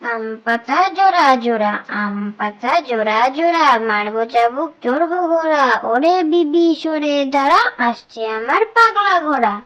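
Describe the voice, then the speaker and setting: High kiddie-sounding voice doing nursery rhymes, sing-song, one line at a time. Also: lively intonation, moderate pace, hardly any pauses, fairly bright tone, muffled recording. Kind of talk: children's nursery rhyme recitation.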